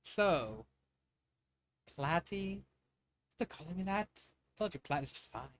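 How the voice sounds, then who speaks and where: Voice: medium pitch, sing-song, slow, slight background noise. A storyteller voicing a mild young underwater prince, affectionate, playful and a little hesitant. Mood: resigned.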